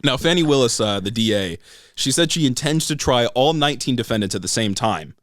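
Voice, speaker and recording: A young American man talks through the news as a podcast co-host. His voice sits at a middle pitch and is recorded very dry. He speaks informally, with slang and the odd swear word, as if filling in a friend. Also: expressive, brisk, a bit bright, no echo. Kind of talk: casual chat, explaining news.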